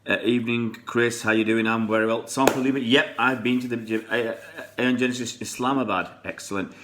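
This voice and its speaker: A man's voice: medium pitch, steady tone, brisk, noisy background. A middle-aged man chatting casually on a live stream, greeting viewers by name and rambling between comments. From born in Pakistan, raised in England. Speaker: fan channel live streamer.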